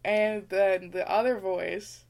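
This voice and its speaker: A woman's voice: mid-range voice, fairly even intonation, takes it slowly, vocal fry, heavy background noise. A woman with a slight lisp, co-hosting a podcast in casual banter with a bossy, teasing manner. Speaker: podcast host. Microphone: close to a shared podcast mic.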